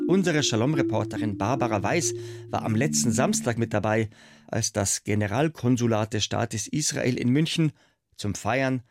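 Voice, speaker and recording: A man hosting a radio program, speaking in a measured, solemn way. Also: medium pitch. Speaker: radio host. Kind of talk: scripted radio presentation.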